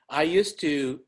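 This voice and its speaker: A man's voice: on the high side, gravelly, husky. A man from the Dominican Republic, a podcast guest talking casually, rambling with many fillers and laughing often. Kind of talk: casual chat.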